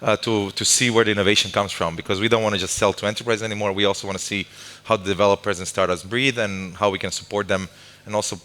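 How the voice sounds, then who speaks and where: A man speaks quickly as a guest on a live stage, his low, bright voice ringing in a long hall echo. He talks loosely, restarting often and joking at his own expense. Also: steady tone. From Croatia. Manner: fast, loose, self-mocking, many restarts. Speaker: guest, tech company developer lead.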